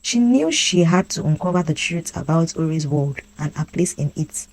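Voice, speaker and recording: Low-voiced woman narrating a folktale. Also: lively intonation, normal speed, a little mellow, poor audio. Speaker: storyteller.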